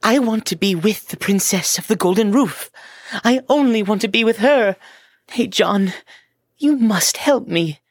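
Woman as a boyish, stubborn young king, slow and expressive. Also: medium pitch, no echo, studio quality. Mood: lovestruck. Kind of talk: children's audio story acting.

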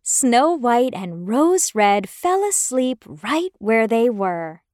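A woman narrating a scripted children's fairy tale in an expressive, careful way. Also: on the high side, slow, no echo, clean studio sound. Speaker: storyteller.

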